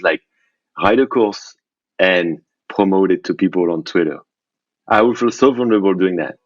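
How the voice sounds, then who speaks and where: A man talking casually and candidly as a podcast guest on a phone call, in a halting way with repeated restarts. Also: fairly low pitch, a little mellow, no room sound. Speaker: podcast guest, indie software founder. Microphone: remote phone call. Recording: dull, dry phone-call audio.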